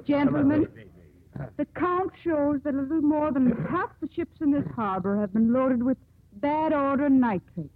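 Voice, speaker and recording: An actress playing a proud, resolute young woman in 1950s radio drama, with a crisp, firm, formal delivery. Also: high-pitched, normal speed, a crowd in the background, close to an everyday voice. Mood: commanding. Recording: muffled, tinny 1950s radio broadcast.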